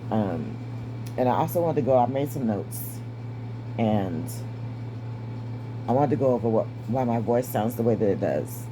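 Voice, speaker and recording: A woman in her fifties with a raspy, strained voice and short breath, giving a candid personal update. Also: very deep voice, lively intonation, moderate pace, pauses often, noisy background. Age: 50s. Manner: candid, wry, stays positive. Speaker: vlogger. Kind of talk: casual personal update to camera.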